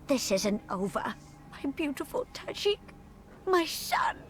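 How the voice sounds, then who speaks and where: A woman's voice: high-pitched, huge pitch swings, slow, exaggerated voice. An actress playing a bereaved, vengeful old mother in a video game, wailing and cursing. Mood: grieving. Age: older. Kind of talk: dramatic video game acting. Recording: music behind the voice.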